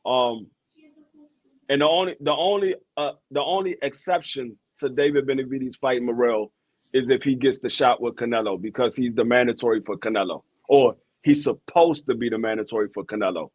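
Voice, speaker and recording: A man's voice: mid-range voice, fairly quick, dry recording, muffled recording. A man from Washington, D.C. hosting a boxing podcast, talking casually with frequent restarts and repeated words. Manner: hesitant, repeats words, gets animated when arguing. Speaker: podcast host. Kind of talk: casual sports talk.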